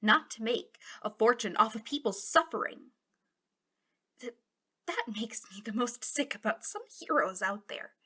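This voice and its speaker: A woman's voice: on the high side, sharp tone, slightly rough, heavily acted. A woman playing a bitter, impassioned female villain guarding a captive in an intimate ASMR roleplay, drifting between dramatic speech and whispers. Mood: indignant.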